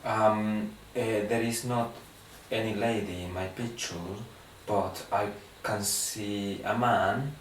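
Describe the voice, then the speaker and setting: A man's voice: on the low side, fairly even intonation, slow pace, far from the mic, slight background noise. Hesitant man, roomy sound. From Guatemala. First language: Spanish. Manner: hesitant, with many uh fillers and restarts. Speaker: exam candidate. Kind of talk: spoken English exam.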